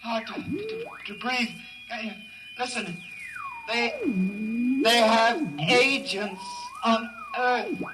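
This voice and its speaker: A suffocating man in an old radio play, very high and sharp. He drags out broken phrases as he fights for breath, desperate to warn someone. He sounds distant, with music in the recording. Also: big pitch swings, slow pace, long pauses, slight background noise, full character voice. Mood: desperate, gasping. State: out of breath, gasping. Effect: radio transmission. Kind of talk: 1950s radio drama acting.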